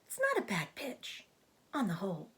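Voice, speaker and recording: A woman voicing a proud, clever female spider in a storybook, with a thin, breathy voice and a genteel, self-assured, explanatory manner. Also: mid-range voice, huge pitch swings, moderate pace, fairly bright tone, exaggerated voice. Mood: matter-of-fact, defensive. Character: clever, proud, matter-of-fact female spider, bloodthirsty trapper.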